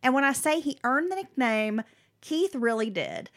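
A woman's voice: high voice, moderate pace. A woman co-hosting a podcast, reading a prepared history story with casual, slangy asides. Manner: animated, drops into slangy asides. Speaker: podcast co-host telling the story.

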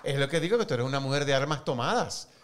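A man's voice: mid-range voice, expressive, quick pace, a bit bright. A man hosting an interview, chiming in with short affirmations and asking questions. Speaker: interview host. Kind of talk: conversational interview.

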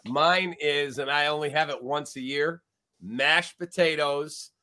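A man hosts a sports talk show in an upbeat, chatty way. His voice is bright and a little tense, and it sits somewhat high for a man. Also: measured pace. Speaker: podcast host.

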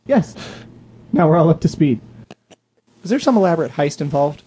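A man with a Midwestern American accent co-hosting a casual comedy podcast, joking with deadpan asides. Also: on the high side, moderate pace, husky. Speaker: podcast co-host.

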